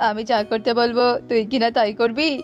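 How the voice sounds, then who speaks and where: A woman's voice: a little high, fairly even intonation, fairly quick, voice pushed down, lightly acted. A woman voicing a greedy male fox uncle in a children's cartoon, commanding, taunting and menacing. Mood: bossy. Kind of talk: children's animated folk-tale acting. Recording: dull lo-fi audio with music behind.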